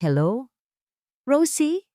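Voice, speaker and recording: A woman's voice: sits high, big pitch swings, extremely slow, mellow, no echo, pitched above the performer's own voice, exaggerated voice. Woman narrator as estranged businessman husband, imploring, audio romance drama, dry recording. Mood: pleading. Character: pleading businessman, estranged husband begging for a meeting.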